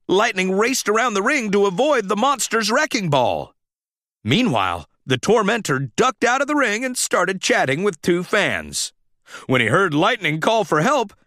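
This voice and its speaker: A man reading a children's story aloud as a scripted, animated narration. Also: sits high, unhurried, no echo, studio quality.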